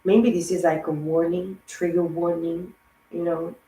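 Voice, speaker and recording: A woman giving a rambling spiritual tarot reading, hoarse and losing her voice, piling up words in long lists. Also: on the low side, level tone, unhurried, warm tone, roomy sound, low-quality recording. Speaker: tarot reader.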